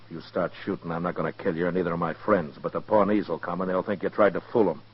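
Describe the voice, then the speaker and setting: Very deep-voiced, stern frontier marshal. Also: male voice, steady tone, quick pace, slightly rough. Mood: grim, menacing. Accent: American. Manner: terse, commanding, unyielding. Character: stern, hard-nosed male frontier U.S. Marshal. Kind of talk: 1950s radio western acting. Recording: dull, muffled 1950s radio recording.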